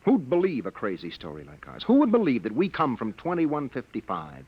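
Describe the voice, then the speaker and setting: An actor playing a worried husband on the run through time, soothing and hushed, in a 1950 radio drama. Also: male voice, medium pitch, wildly swooping pitch, a little fast, slight background noise. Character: worried time-traveling husband, adult man. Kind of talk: 1950s radio drama acting. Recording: dull lo-fi 1950 radio broadcast with music.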